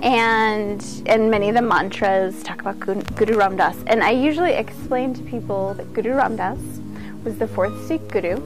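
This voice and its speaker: A woman's voice: mid-range voice, rough recording. A woman giving an informal instructional talk as a yoga teacher, conversational and earnest.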